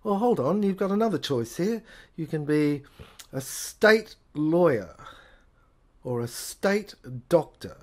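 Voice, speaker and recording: Man playing a pompous, lower-pitched jaded lawyer. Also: sing-song, takes it slowly. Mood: brusque. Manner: haughty, rambling, bureaucratic, addresses others as old boy. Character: pompous, cynical state lawyer, jaded old hand. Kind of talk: theatrical audio play monologue.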